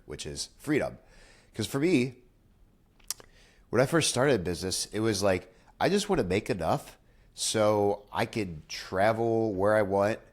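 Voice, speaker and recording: A young man with a congested, nasal voice, talking casually and candidly to camera about his business, with frequent fillers and restarts. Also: low voice. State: nasal, congested after nose surgery. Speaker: business owner vlogger. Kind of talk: casual direct-to-camera talk.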